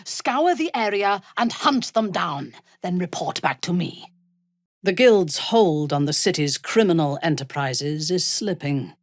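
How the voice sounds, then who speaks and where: Casting: proud, ruthless dark elf commander for a fantasy game. Slow, disdainful, highly expressive. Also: female voice, no echo, clean studio sound. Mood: commanding. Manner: imperious, measured, formal phrasing. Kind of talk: video game character dialogue.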